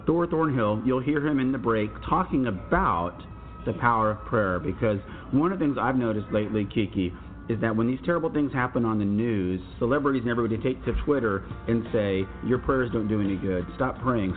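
A middle-aged man hosting a casual podcast, talking easily with fillers and restarts. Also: medium pitch. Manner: easygoing, chatty with fillers and restarts. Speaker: podcast host. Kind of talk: casual co-hosted podcast chat. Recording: tinny phone-like sound with faint music.

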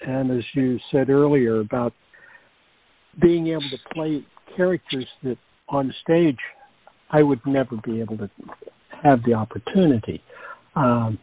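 A man's voice: medium pitch, unhurried, pauses often, slight background noise. A man who acts, talking reflectively and casually in a panel discussion over narrow call audio. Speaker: cast member, actor.

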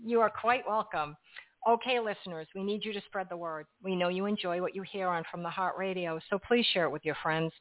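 A woman hosting a radio talk show and reading her sign-off in a polished, gently emphatic way. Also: fairly low pitch, fairly quick, no room sound, phone-quality sound.

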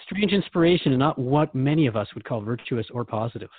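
A man's voice: medium pitch, animated, quick pace, narrow, tinny audio. A man hosting a conversational podcast interview, speaking in an earnest, questioning and reflective way. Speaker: host interviewing a guide.

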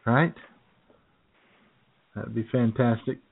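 A man's voice: medium pitch, slow, vocal fry, breathy edge. A man co-hosting a radio comedy show in casual banter, jokey and rambling. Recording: narrow phone-like radio audio.

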